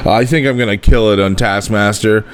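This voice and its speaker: A man's voice: lowish voice, fairly even intonation, moderate pace, slight background noise. A man from Canada introducing himself to listeners as a contestant. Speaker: game contestant, podcaster.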